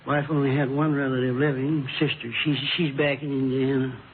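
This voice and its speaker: An actor playing a grieving, bewildered widower past sixty-five, polite and halting, in a vintage radio drama. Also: male voice, medium pitch, flat delivery, close to an everyday voice. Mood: polite, subdued. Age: over sixty-five. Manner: halting, polite, plain folksy speech. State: shaken with grief. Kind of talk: 1950s radio drama acting. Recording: tinny, noisy vintage radio recording.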